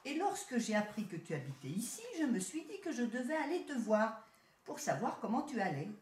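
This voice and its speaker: A woman's voice: fairly high pitch, sing-song, fairly quick, darkish tone, slight background noise. A woman voicing a haughty, boastful male city rat in a storybook tale, talking down to his cousin. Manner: proud, boastful, condescending. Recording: roomy echo.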